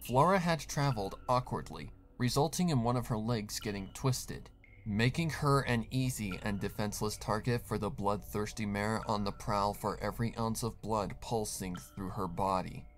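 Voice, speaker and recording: A man's voice: fairly low pitch, normal speed, a little hiss or hum. A man narrating a scripted horror story in a dramatic reading style.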